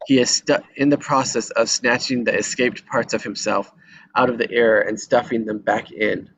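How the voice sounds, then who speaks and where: Man narrating screenplay action, mid-pitched. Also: fairly even intonation, normal speed, a bit bright, a little hiss or hum, rough recording. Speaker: narrator reading action descriptions. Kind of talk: scripted screenplay narration at table read.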